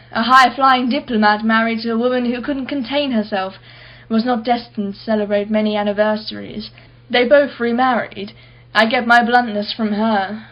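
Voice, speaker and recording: A woman's voice: a little high, highs cut off, close to an everyday voice. A woman playing a wealthy, blunt and haughty married woman in a radio play, clipped and defensive.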